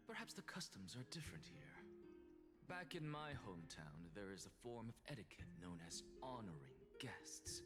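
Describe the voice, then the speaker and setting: An actor playing a proud, aloof male scholar in a video game, speaking formally with theatrical, cutting sarcasm. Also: mid-range voice. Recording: loud music under the voice.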